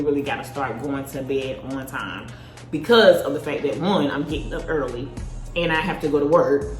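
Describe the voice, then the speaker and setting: A woman in her thirties chatting casually to camera, animated and personal, calling viewers baby and sis. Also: deep voice, echoey room. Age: 30s. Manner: talks while eating. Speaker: vlogger.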